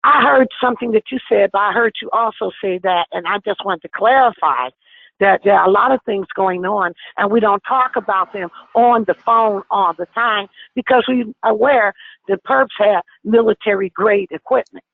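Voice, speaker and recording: A woman in her sixties calling in to a conference call, talking forcefully and insistently. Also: mid-range voice, moderate pace, gravelly, no echo. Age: 60s. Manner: forceful, insistent, talks over others. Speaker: caller on an activist conference call. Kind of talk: impassioned phone call. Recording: tinny phone line.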